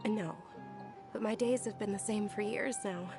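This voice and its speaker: A woman playing a gentle, resigned young noblewoman in a video game, speaking softly and politely. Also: medium pitch, normal speed, music in the background, natural voice. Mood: wistful, resigned.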